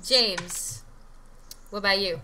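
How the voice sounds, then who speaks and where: A woman's voice: medium pitch, takes it slowly, pushed and bright, slight background noise. A woman hosting a casual livestream chat, calling on each guest in turn and laughing often. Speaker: host.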